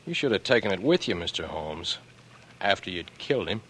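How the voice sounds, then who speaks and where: Suspicious young small-time blackmailer, American, 1940s radio drama. Fairly low pitch, pointed and cocky delivery, slightly noisy vintage recording. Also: male voice, very animated, a little fast, a bit bright. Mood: accusing. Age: young adult. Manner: terse, pointed, wary. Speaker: radio actor.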